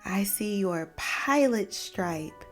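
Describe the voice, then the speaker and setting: Gentle storybook zebra mama, voiced by a woman. Soft, soothing, slow delivery over music. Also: medium pitch, lively intonation, voice pushed down. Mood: tender, reassuring. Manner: tender, soothing. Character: gentle, reassuring mother zebra. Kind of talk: storybook character voice. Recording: music behind the voice.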